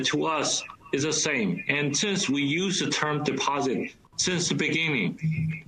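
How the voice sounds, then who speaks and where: A man who is not a native English speaker gives a measured, hesitant statement in a remote meeting, filling pauses with "uh". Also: medium pitch, pushed and bright, a little hiss or hum, poor audio. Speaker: board member in a meeting. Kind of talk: formal remote meeting discussion.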